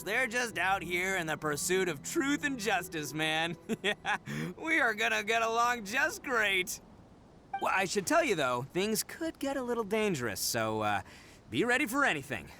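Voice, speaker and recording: A man's voice: high voice, very animated, moderate pace, pushed and bright, full character voice. A man voicing a boisterous, proud young male Oni gang leader in a game cutscene, given to hearty laughs. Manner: boastful, hearty laughs, earnest when serious.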